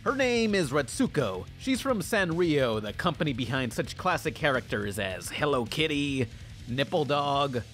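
A man hosts a scripted review in a fairly high voice that moves around a lot. His delivery is sarcastic and crude, with faint music underneath. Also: sing-song, measured pace, a bit bright. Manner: sarcastic, profane joking. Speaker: cartoon review host.

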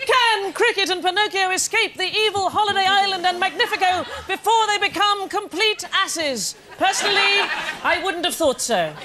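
A woman's voice: extremely high pitch, expressive, moderate pace, over a noisy crowd. A middle-aged woman, telling a scripted comic story in an arch, theatrical way as pantomime narrator. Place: TV studio, at a lectern. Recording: studio with audience noise.

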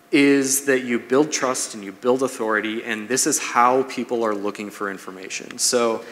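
A man's voice: medium pitch, fairly bright tone. Man presenting in a very echoey hall. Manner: conversational, explanatory, checks in with "right?". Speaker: presenter, marketing speaker. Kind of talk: business seminar talk to an audience. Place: large brick-walled event room, indoors. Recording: echoey hall, long reverb.

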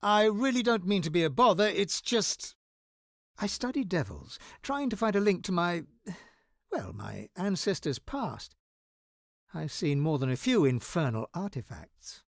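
An actor playing a guarded, anxious scholar wizard in a video game, speaking in an articulate, wry and dramatic manner. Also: male voice, high voice, big pitch swings, a little slow, gravelly, no room sound, studio quality, full character voice. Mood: hesitant, pleading.

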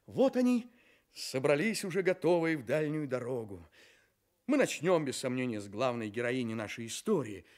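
A man's voice: mid-range voice, very animated, normal speed, darkish tone, lightly acted. An actor playing a courteous, mysterious storyteller in a children's radio play, theatrically addressing his listeners. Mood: mysterious, inviting. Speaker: narrator, storyteller host. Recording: studio radio play with music.

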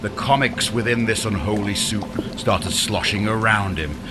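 Low-voiced male storyteller over music. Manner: dramatic, deliberate storytelling. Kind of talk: scripted audiobook fantasy narration. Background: music under the voice.

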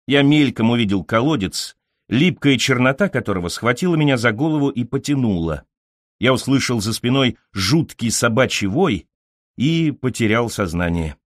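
Guy reading an audiobook, literary story, really varied intonation, measured delivery, super dry close mic sound. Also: mid-range voice, dry recording. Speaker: audiobook narrator. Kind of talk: scripted literary narration, first-person story reading.

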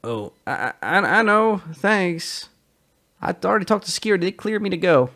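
A man voicing a nervous young male fugitive in a storybook reading, stammering in a higher voice than his own. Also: big pitch swings, moderate pace, sharp tone, heavily acted. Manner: stammering, anxious.

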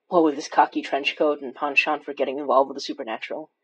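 A woman's voice: low-pitched, steady tone, quick pace, breathy edge, low-quality recording. A woman playing a wry, caring paranormal investigator who narrates a horror audio drama in an intimate, conversational way. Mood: reflective. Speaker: lead character and narrator.

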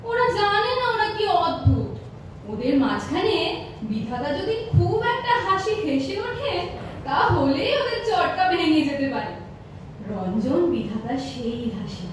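A woman's voice: high-pitched, big pitch swings, unhurried, slight background noise. A woman acting a joyful, fearless, devoted young woman in a poetic Bengali stage audio drama. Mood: eager. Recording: echoey hall, distant mic, music behind.

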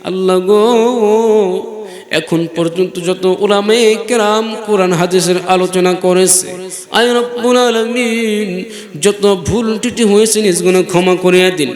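A male cleric leading a chanted supplication, pleading in melodic, drawn-out phrases. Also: high voice, steady tone. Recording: echoey PA with long reverb.

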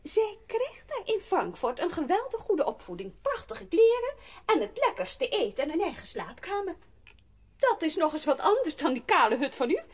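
Posh pushy aunt, very high voice, hugely animated, insistent, radio drama. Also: female voice, normal speed. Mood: indignant, pushy. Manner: indignant, insistent, chattering. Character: haughty, pushy city aunt, a fancy lady. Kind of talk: Dutch radio play acting.

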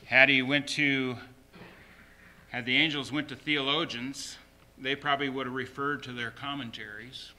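Guy pastor preaching, really bright forceful voice, punchy repeats, some noise behind him. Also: medium pitch, steady tone, moderate pace. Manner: emphatic, repeats short phrases. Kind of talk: sermon.

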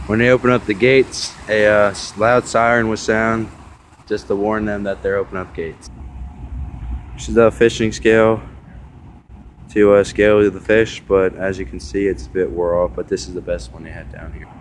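A young man casually narrating a tour of a river dam outdoors, filming on a phone, halting with many fillers and restarts. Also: on the low side, level tone, slight background noise, rough recording. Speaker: vlogger filming a local landmark. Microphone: phone camera held while walking.

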